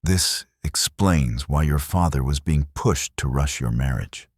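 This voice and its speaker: Man voicing a very deep, terse cowboy. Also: measured pace, husky, dry recording, studio quality, full character voice. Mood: grave. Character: grim, steady cowboy homesteader in the Old West.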